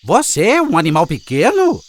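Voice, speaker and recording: A woman voicing a small, excitable, outspoken frog in a children's cartoon fable. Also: fairly low pitch, normal speed. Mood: amazed. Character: small excitable frog, doubting friend of the boaster.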